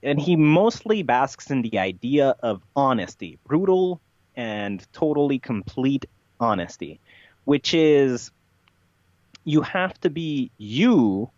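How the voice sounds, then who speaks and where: A man talking as a podcast guest, explaining his ideas assertively with halting pauses. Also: mid-range voice, big pitch swings, darkish tone, dry recording, lo-fi sound. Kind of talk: conversational interview, expounding ideas.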